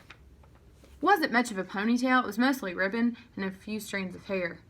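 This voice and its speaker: Bright-voiced woman reading a children's book. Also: medium pitch, moderate pace. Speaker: read-aloud narrator. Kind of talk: expressive children's book narration.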